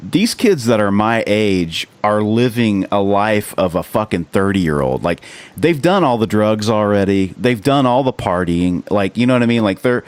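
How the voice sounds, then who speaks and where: An American man from the rural United States hosting a podcast, chatting casually in an earnest, rambling, sweary way. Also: lowish voice. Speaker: podcast host. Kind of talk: casual chat.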